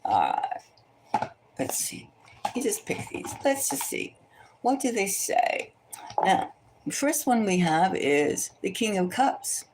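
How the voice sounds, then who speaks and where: A woman's voice: medium pitch, very animated, slow pace, long pauses, a little mellow, slight background noise. A woman tarot reader chatting casually and thinking aloud, gossipy and opinionated, often rambling and repeating her phrases. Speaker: tarot reader hosting a celebrity psychic reading.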